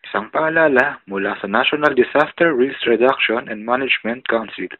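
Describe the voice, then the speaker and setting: A man's voice: mid-range voice, moderate pace. A man reading a scripted public service announcement in an instructive manner. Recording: narrow, tinny radio-like sound.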